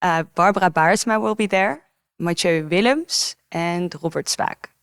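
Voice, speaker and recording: A woman's voice: on the low side, unhurried, clean tone. A young adult woman, an event organizer, speaking as a podcast guest in an upbeat, casual way. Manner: upbeat, says like and really. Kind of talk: casual conversation.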